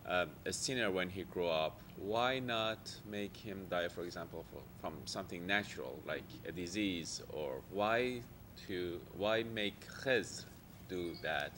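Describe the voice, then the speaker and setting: A man's voice: sits low, a little slow, few pauses, background noise. A man in the audience asking a long question, hesitant and thinking aloud. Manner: hesitant, many fillers.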